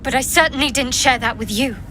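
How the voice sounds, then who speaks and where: A woman's voice: high voice, fairly quick, pushed and bright, slight background noise. A woman playing a suspicious, defensive young cleric in a video game, with a clipped, guarded manner. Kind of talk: cinematic video game acting.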